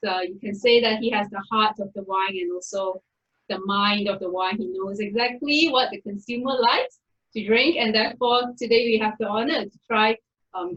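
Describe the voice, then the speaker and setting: A woman's voice: medium pitch, fairly bright tone, muffled recording. A woman hosting an online wine tasting and introducing it in a chatty way, with many fillers. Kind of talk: friendly event introduction.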